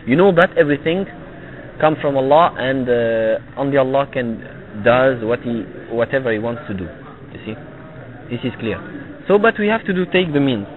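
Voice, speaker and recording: A middle-aged man teaching a religious lesson to a live audience, halting and repeating himself and often checking with "you see?". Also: medium pitch, lively intonation. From Tunisia. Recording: phone-like lo-fi recording with crowd noise. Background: listeners gathered in the room.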